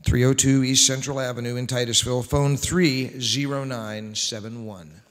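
A middle-aged man reads old-time radio show scripts as host. His voice is on the deeper side of average, and it rings with long reverb in a big hall. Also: steady tone, normal speed, fairly bright tone. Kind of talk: scripted narration, reading old-time radio ads and introductions. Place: large indoor hall, on stage. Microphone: microphone on a stand.

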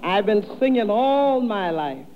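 A woman's voice: medium pitch, very animated, measured pace. A middle-aged woman trades frank, joking banter as a contestant on 1930s radio. The old recording is dull, with light background noise. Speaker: amateur singer contestant. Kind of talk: 1930s radio interview chat. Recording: muffled, faintly noisy 1930s radio broadcast.

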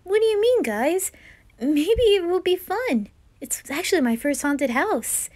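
A woman's voice: very high voice, very animated, unhurried, darkish tone, dry recording, voice pushed up, exaggerated voice. A woman voicing a cheerful, innocent young boy, eager and enthusiastic, in an anime fan roleplay.

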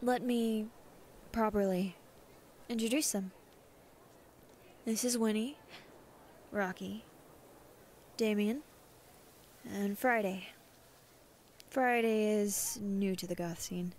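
Woman acting a slow-talking young cybergoth college girl. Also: mid-range voice, steady tone, a little mellow, slight background noise, lightly acted. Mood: friendly, chatty. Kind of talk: ASMR roleplay, casual chat.

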